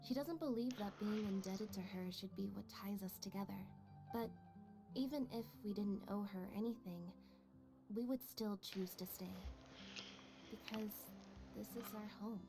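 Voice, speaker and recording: An actress playing a calm, reserved young woman. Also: medium pitch, unhurried, close to an everyday voice. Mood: earnest. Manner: calm, even, understated. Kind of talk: video game voice acting. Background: game soundtrack music.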